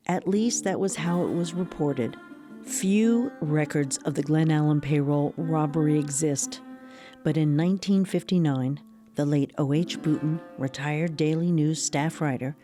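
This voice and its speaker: A woman's voice: low-pitched, warm tone, close to an everyday voice. An American woman narrating a historical radio drama in a sober, scripted style. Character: sober female storyteller recounting history.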